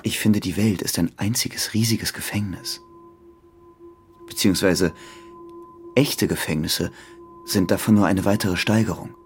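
A male actor playing a detached, embittered ex-convict in a crime radio drama, speaking in a deliberate, brooding way. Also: deep voice, slightly rough, soft background music, full character voice.

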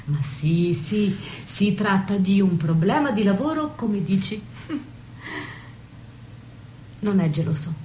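A woman's voice: mid-range voice, a little slow, warm tone, slight background noise, close to an everyday voice. A woman acting a worldly, loyal confidante in an intimate stage-style drama, blunt, protective and indignant. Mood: knowing, cynical. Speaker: stage actress. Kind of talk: intimate theatrical radio drama.

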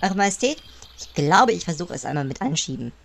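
A servile gnome advisor played by a woman in a fantasy comedy radio play. The voice sits low, and the delivery is hesitant, fussy and deferential toward royalty. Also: sing-song, fairly quick, vocal fry.

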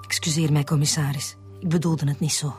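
An actress with a Flemish accent playing a lovesick, betrayed woman confessing haltingly to the police in a radio drama. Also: low voice, fairly even intonation, darkish tone, soft background music, close to an everyday voice. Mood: apologetic, wistful. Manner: halting, repeats words.

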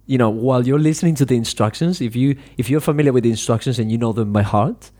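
A man hosting a podcast interview, talking in a relaxed way and filling his sentences with "you know". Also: medium pitch, quick pace. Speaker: podcast host, English teacher. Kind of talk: casual interview chat.

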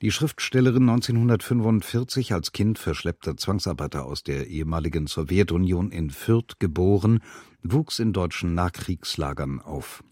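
Male announcer, deep register, very dry studio sound, scripted programme introductions. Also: normal speed, darkish tone, no room sound. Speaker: radio announcer introducing an audio drama. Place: close, dry broadcast studio.

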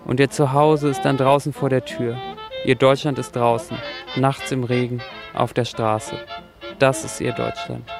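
A sombre radio-play narrator with a creaky, even-toned voice. He tells a bleak homecoming tale gravely and with weighty repetition, over clear orchestral music. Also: mid-range voice, unhurried. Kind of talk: theatrical radio drama prologue.

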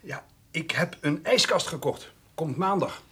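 Awkward, higher-voiced farmer son. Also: male voice, animated, bright, forceful tone, husky, full character voice. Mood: earnest. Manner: halting, bashful. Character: well-meaning, awkward farmer, devoted grown son. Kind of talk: radio play acting.

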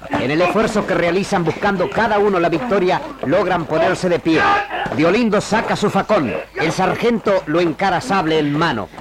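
A man's voice: high voice, normal speed. A man giving scripted dramatic narration for a radio serial, on an old archive recording.

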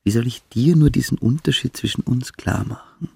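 Creaky-voiced, coldly fanatical schoolboy. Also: male voice, medium pitch, a little fast, a little hiss or hum. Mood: fanatical, intense. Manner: brooding, lecturing, with hushed whispered passages. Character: coldly fanatical teenage boarding-school boy, mystical, intellectual, cruel. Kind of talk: German radio play acting.

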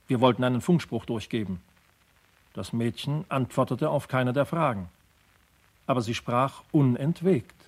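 A man playing a sober first officer of a freighter, giving measured, factual testimony in a radio play. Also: mid-range voice, natural voice. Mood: sober, grave. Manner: measured, factual, short declarative sentences.

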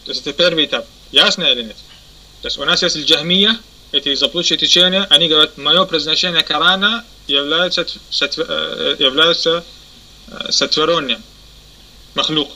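A man teaching a religious lesson. He pauses often, corrects himself, and keeps asking if his listeners understand. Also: on the high side, sharp tone, rough recording. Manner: halting, many fillers and self-corrections. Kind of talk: explanatory lesson.

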